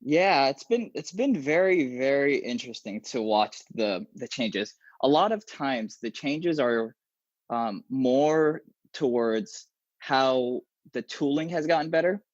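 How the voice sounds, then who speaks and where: A young man whose first language is Arabic, a software engineer talking animatedly and casually as an interview guest over a video call from a small room at home. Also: medium pitch, takes it slowly, dry recording. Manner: animated, gestures a lot, many restarts. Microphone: earbuds on a video call. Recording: dull, slightly lo-fi video call audio.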